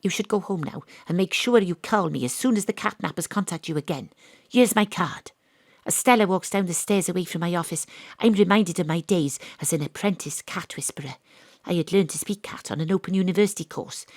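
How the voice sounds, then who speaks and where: Deadpan hard-boiled female detective. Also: fairly low pitch, fairly quick, no echo. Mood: brisk, world-weary. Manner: deadpan, dry. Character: female private detective, world-weary, wry, hard-boiled ex-rugby star. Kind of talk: noir detective narration in audio drama.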